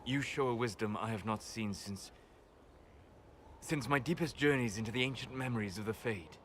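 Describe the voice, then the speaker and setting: An actor playing a wise, aloof male elf mage in a game cutscene, measured and soft-spoken. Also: medium pitch, slight background noise, close to an everyday voice. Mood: calm, thoughtful. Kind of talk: video game cutscene dialogue. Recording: game audio with long reverb, faint ambience.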